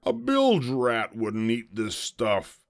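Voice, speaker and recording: A man voicing a loud, gruff, blustering pirate captain from a storybook, with theatrical hollering. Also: mid-range voice, wildly swooping pitch, slow pace, voice pushed up, exaggerated voice. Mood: indignant, alarmed. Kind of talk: storybook character voice.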